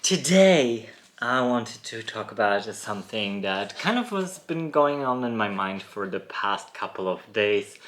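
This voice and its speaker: A man, born in Poland, hosting a reflective solo podcast in a thoughtful, musing way with frequent soft laughs. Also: medium pitch. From born in Poland, raised in Germany. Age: 30s. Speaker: podcast host. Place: rural home in France.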